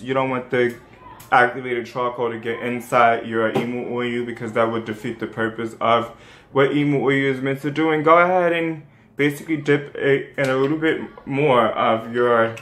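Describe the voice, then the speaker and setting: A man's voice: mid-range voice, a bit bright. Young new york guy rambling on about his skincare routine, lots of ums and likes, bit of room echo. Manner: rambling, chatty, many fillers and restarts. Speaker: skincare vlogger. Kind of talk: casual how-to vlog.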